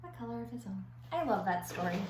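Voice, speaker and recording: A woman schoolteacher reading aloud to young children and explaining an activity in simple, clear phrases. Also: mid-range voice, lively intonation, fast talker. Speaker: elementary school teacher. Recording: echoey room with faint background noise.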